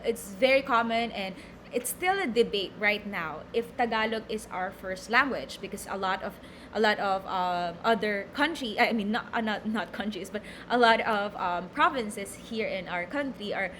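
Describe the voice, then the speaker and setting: A woman's voice: medium pitch, normal speed, fairly bright tone, slight background noise. A young Filipino woman with a Filipino accent, teaching a recorded lecture, reading from slides and explaining with many fillers and repeated words. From Philippines.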